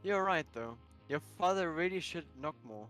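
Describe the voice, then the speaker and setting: A man's voice: fairly high pitch, animated, moderate pace, a bit bright, faint music behind. A man voicing an insecure, melancholy young herbivore character in a visual novel, pleading and hesitant. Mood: shy, gentle.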